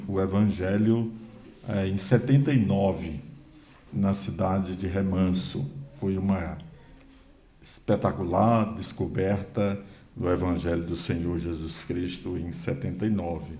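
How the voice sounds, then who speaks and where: A man in his sixties from Bahia with a Northeastern Brazilian accent, a guest pastor giving a folksy, unhurried personal testimony in a church hall. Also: low voice, warm tone, a little hiss or hum. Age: 60s. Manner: folksy, unhurried, many filler pauses. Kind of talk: sermon introduction, personal testimony. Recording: roomy church echo.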